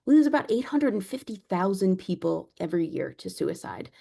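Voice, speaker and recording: A woman lecturing as a clinical psychologist, speaking in a measured, explanatory way with a naturally soft voice. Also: mid-range voice, very animated, creaky, husky. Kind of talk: academic lecture.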